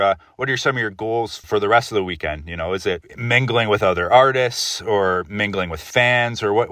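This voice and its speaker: Low male voice, dry but distant pickup, casual podcast host, halting delivery, repeated words. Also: fairly bright tone, no room sound. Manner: halting, repeats words, frequent "you know". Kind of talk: casual interview.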